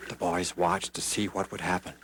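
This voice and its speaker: A man reading children's Bible stories as scripted narration, dramatic and expressive, acting out the dialogue. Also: deep voice, normal speed, a little hiss or hum. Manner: animated, dramatic, voices the characters.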